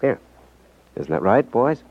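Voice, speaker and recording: An actor playing a sly, cool, confident trickster who feigns innocence in a 1950s radio drama. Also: male voice, medium pitch, very animated, takes it slowly, no echo. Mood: sly, self-assured. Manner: coy, unruffled, feigns innocence. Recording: muffled 1950s radio recording.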